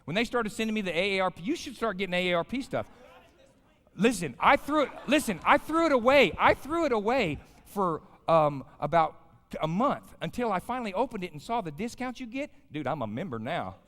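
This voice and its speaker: A man's voice: sits high, big pitch swings, a little fast, a bit bright. A man in his fifties with an American accent, preaching informally in a folksy, colloquial way, telling stories and putting questions to his listeners. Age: 50s. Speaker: pastor, guest chapel speaker. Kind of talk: informal chapel sermon with storytelling. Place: large indoor chapel, speaking to an audience. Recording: live hall with long reverb.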